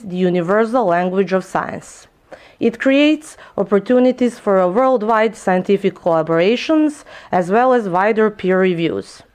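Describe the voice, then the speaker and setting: A young woman whose first language is Serbian, presenting a research paper in a clear, explanatory way with a few small slips. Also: mid-range voice, a little mellow. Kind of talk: prepared lecture presentation.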